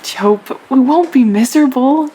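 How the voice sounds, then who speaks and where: A woman playing a gentle, ailing young woman in a period audio drama, tender and resigned, in a breathy near-whisper. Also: high-pitched, moderate pace, slight background noise, natural voice. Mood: tender, consoling.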